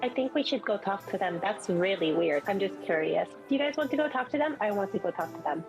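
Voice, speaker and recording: A woman's voice: mid-range voice, animated, fast talker. A woman roleplaying casually in a tabletop game, chatty and curious as she talks. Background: music.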